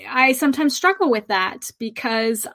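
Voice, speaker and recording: A woman's voice: sits high, expressive, bright, forceful tone. American woman, reflective podcast co-host. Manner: reflective, measured, with frequent ums. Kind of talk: casual podcast chat.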